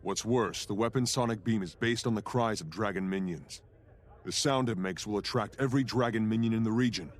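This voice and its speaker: A man's voice: on the low side, fairly quick, close to an everyday voice. An actor, a man, playing an urgent, determined fantasy hero in a video game, earnest and direct.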